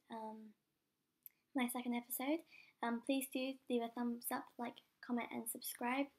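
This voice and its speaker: A young woman with a cold and a croaky voice, chatting casually and mocking herself while playing a game as host of her channel, sometimes dropping to a whisper. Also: sits high, a little mellow.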